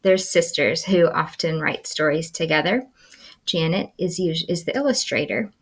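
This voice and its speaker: A woman who works as a school librarian, reading a children's picture book aloud with expressive, dramatic storytelling. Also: low voice, moderate pace, slightly rough.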